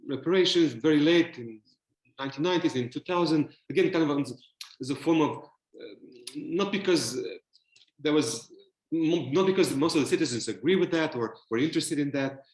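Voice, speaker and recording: A man's voice: fairly high pitch, moderate pace, roomy sound, rough recording. A man from Romania with a Romanian accent, speaking as a panelist in a virtual panel discussion, thoughtful and hesitant with many fillers and restarts. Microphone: video call.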